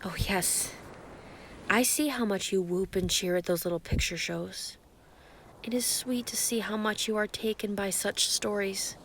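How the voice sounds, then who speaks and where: A woman playing an ancient, giant female sea creature, calm and grandiose, flirting possessively in an intimate roleplay monologue. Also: mid-range voice, measured pace, slight background noise, poor audio. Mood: teasing adoration. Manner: calm, teasing, grandiose, formal wording.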